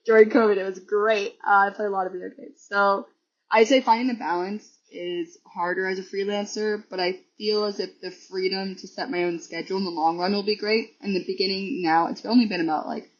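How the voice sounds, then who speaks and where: A hoarse woman guesting on a podcast, chatting casually and rambling with restarts and laughs. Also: medium pitch, fairly even intonation, brisk. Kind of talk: casual chat. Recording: dull lo-fi recording.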